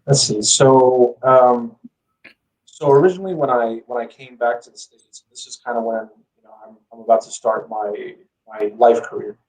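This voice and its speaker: A man's voice: mid-range voice, steady tone, normal speed, darkish tone, recorded from a distance, low-quality recording. A man telling his story as a guest in a casual interview, speaking informally with lots of "you know". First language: English.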